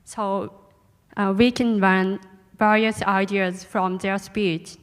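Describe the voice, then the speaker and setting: A teenage girl, a native Japanese speaker, giving a careful, halting self-introduction in English as a student panelist. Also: mid-range voice, fairly even intonation, clear, resonant tone. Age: teens. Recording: echoey hall.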